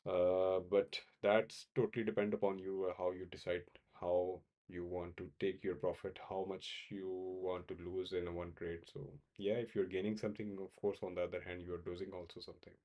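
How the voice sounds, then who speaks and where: Man from Pakistan, low voice, halting casual livestream talk full of "uh" and repeats. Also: flat delivery, warm tone, lo-fi sound. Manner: halting, many fillers and repeated words. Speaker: crypto trading livestream host. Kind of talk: casual live-stream chat answering viewers.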